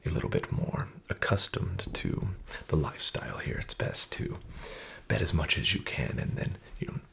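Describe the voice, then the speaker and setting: A man playing a smooth-talking, falsely friendly casino dealer, soft-spoken and half-whispered. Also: very deep voice, big pitch swings, moderate pace, heavily acted. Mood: sly coaxing. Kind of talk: soft-spoken ASMR roleplay. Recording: audible background noise with card shuffling.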